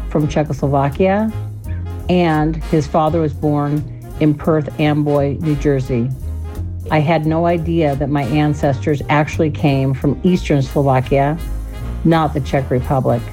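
A woman from Alaska narrates a prepared personal story for radio. Her voice is low and mellow, with faint music behind it. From Alaska, United States. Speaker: listener sharing her story.

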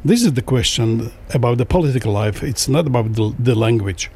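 Brief: middle-aged man, translator on a discussion panel, mid-pitched, pauses and restarts often, careful English. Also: lively intonation, a little mellow, breathy edge. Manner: halting, frequent restarts, non-native phrasing. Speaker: translator and interpreter.

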